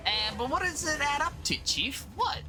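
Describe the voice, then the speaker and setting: A man acts out a jumpy, colloquial working-class guy in a comic-book drama. His excitable voice swoops wildly, with music behind him. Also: extremely high pitch, moderate pace. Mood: urgent, puzzled. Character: jumpy working-class everyman, cabbie or cop.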